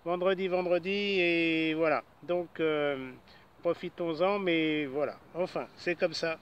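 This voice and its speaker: A man's voice: on the high side, steady tone, unhurried, smooth, lo-fi sound. A man chatting casually as an amateur radio operator, speaking from a portable station outdoors.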